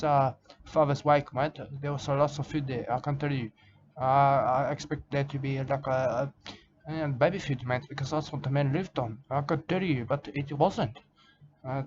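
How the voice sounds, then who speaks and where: A man rambling through a halting comic monologue in broken grammar, filling it with "mate" and "I can tell you". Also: medium pitch, dull audio, noisy background. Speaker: comedic character monologue. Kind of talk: rambling comic monologue as a nature-documentary style character.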